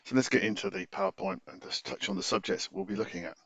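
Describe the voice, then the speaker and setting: A man's voice: medium pitch, very animated, fast, creaky, fairly bright tone, no room sound. A man narrating an informal technical tutorial in a hesitant, stop-start way, often correcting himself, in a slightly muffled close-miked recording. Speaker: tutorial presenter.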